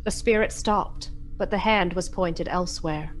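A woman's voice: lowish voice, unhurried. Woman narrating a radio play over music. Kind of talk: dramatic scripted storytelling narration.

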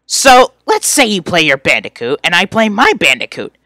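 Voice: mid-range voice, sing-song, moderate pace, bright, forceful tone, no room sound. A performer voicing an irritable, sarcastic young male troll from a webcomic, scornful and exasperated. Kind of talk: reading fan voice lines from a webcomic.

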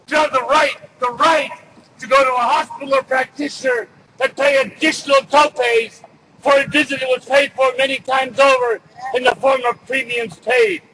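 A middle-aged man gives an emphatic, loudly projected political speech at an outdoor rally, reading from notes. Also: very high voice, low-quality recording. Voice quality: loud, projected voice. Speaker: rally speaker. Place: outdoors on city building steps in winter. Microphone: camera a few feet away. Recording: outdoor street noise, no microphone.

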